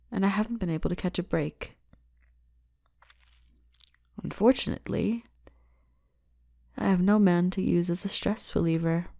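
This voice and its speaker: A dominant yet caring demon lord's daughter, acted by a woman for an ASMR roleplay. Her voice is low and mellow, with a creaky edge. She speaks softly and takes her time, giving orders and teasing, and can slip into a whisper. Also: no room sound, studio quality. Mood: weary, confiding. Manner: soft-spoken, unhurried, slipping into breathy whispers. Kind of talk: ASMR roleplay, intimate scripted character acting.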